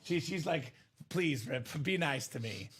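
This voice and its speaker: A young man from Bulgaria hosting a live sports card break stream, upbeat and joking, shouting out buyers by name. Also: a little high, lively intonation, measured pace, slight background noise. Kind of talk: casual live-stream sales chat.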